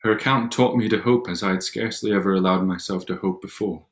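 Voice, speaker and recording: A reserved Regency gentleman suitor acted by a man, with a deep, low voice. He is formal and proud, shyly earnest underneath, and a little halting as he reads the period romance. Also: steady tone, lightly acted. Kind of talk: staged reading of a period drama.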